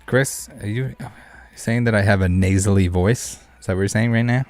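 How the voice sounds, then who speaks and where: Man, low-pitched voice, casual game streamer, laid-back joking chatter, music in the background. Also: moderate pace, a little mellow. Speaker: streamer, host of a card game live stream. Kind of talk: casual live game commentary and chat with viewers. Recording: music playing behind the voice.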